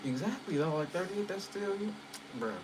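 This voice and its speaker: A man's voice: high voice, expressive, normal speed, background noise. Rambling thirty-something man, AC humming. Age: 30s. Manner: loose, rambling, sprinkled with profanity and fillers. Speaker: channel host chatting with viewers. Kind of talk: casual livestream chat.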